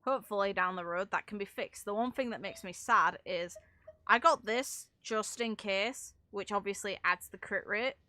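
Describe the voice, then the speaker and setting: A woman's voice: mid-range voice, moderate pace, bright, forceful tone, no echo. A woman game streamer giving casual, rambling livestream commentary, explaining things at length with casual swearing.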